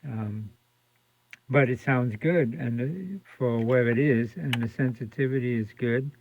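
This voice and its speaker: A man giving a casual, unscripted radio repair demonstration in hesitant, halting phrases, recorded indoors. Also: mid-range voice, slight background noise. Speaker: radio restorer demonstrating a set. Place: indoor room with fluorescent lights. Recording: dull lo-fi home video sound.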